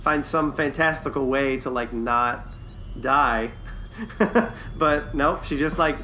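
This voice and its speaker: A man's voice: mid-range voice, steady tone, sharp tone, slight background noise. A man co-hosting a review podcast, chatting casually and enthusiastically as a fan. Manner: enthusiastic, quick to chuckle.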